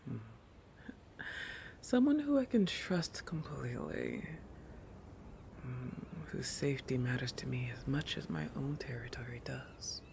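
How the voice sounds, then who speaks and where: A possessive shark predator played by a man speaks slowly in a creaky voice, often sinking to a breathy whisper. The delivery is intimate roleplay audio, musing and oblivious, full of thoughtful hums. A faint rumbling noise sits underneath. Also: big pitch swings. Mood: musing, tender.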